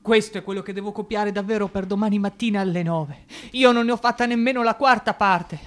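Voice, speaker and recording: An actor playing an anxious, fragile young clerk, dreamy and emotional, with a hesitant, plaintive delivery in an Italian radio drama. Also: male voice, sits high, steady tone, fairly quick, lightly acted. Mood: distressed, confessing.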